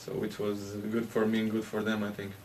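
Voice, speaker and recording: A Latvian man, a hockey player, answering interview questions in English with a Latvian accent, haltingly and with many hesitations. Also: on the low side, completely flat delivery, a little mellow. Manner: halting, hesitant, with frequent "uh" and restarts. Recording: distant mic with faint background noise.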